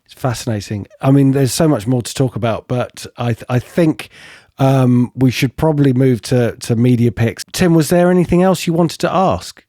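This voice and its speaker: A man co-hosting a podcast muses aloud in a hesitant way, feeling for his words as he goes. His voice is dark and mellow. The sound is dry and close, with no room in it. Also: medium pitch, normal speed, no room sound. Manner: musing, hesitant, thinking aloud. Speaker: podcast co-host. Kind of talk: podcast interview.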